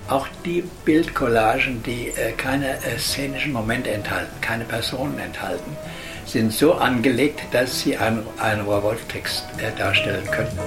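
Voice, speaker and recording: A man comments in an interview, halting often as he searches for words. His voice is mid-pitched and guitar music plays clearly behind him. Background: guitar music, clearly heard.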